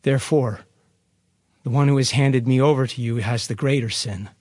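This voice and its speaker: A man voicing a grave, resolute holy teacher, measured and earnest, in a scripture reading. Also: mid-range voice, darkish tone, dry recording, clean studio sound, close to an everyday voice. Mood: calm, resolute.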